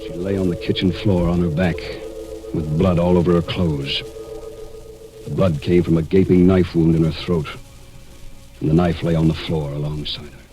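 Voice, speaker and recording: A man playing a level-headed amateur sleuth who also narrates a mystery, in measured, probing 1940s radio acting. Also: low-pitched, steady tone, over music, close to an everyday voice. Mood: grim narration. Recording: noisy vintage 1940s radio recording.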